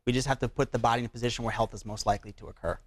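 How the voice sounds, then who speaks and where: A man's voice: medium pitch, fairly even intonation, racing. A man speaking as a doctor in a conversational, promotional TV interview, explaining things with frequent check-ins. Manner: explanatory, uses rhetorical check-ins like "right?" and "okay?".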